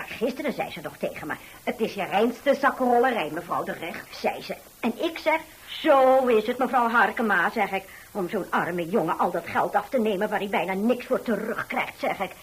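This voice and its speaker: An actress playing a chatty, pushy, gossiping middle-aged widowed neighbour in a Dutch radio play, speaking with a Dutch accent. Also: female voice, a little high, slightly rough, poor audio. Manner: insistent, gossipy, animated.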